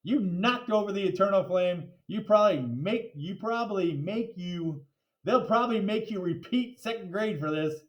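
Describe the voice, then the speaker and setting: A man's voice: high voice, normal speed, distant mic, pitched above the performer's own voice, natural voice. A man voicing a bossy, know-it-all second-grade girl in a storybook, scolding her classmates. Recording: echoey, roomy sound.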